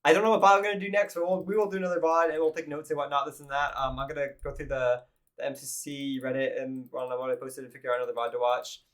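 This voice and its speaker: A man's voice: medium pitch, level tone, fast talker. A man streaming live who is losing his voice, chatting loosely and thinking aloud with lots of restarts. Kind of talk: casual live-stream commentary.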